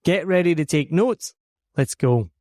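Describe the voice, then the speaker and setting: A man's voice: a little high, lively intonation, a little slow, darkish tone, no echo. A Scottish man hosting his podcast from a home studio, delivering an upbeat, earnest scripted monologue. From Scotland. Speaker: podcast host.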